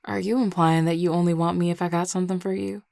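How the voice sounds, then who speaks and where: A woman's voice: on the low side, fairly even intonation, fast talker, creaky, a little mellow, dry recording. A woman acting a flirtatious, teasing Southern werewolf in an audio roleplay, with a Southern US accent and a colloquial drawl. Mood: playful, flirty.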